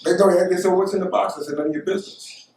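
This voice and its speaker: A man's voice: high-pitched, steady tone, racing, vocal fry, a little mellow, a little hiss or hum. Casual American male storyteller, middle-aged, recorded in an echoey room at some distance from the mic. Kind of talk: casual first-person anecdote telling.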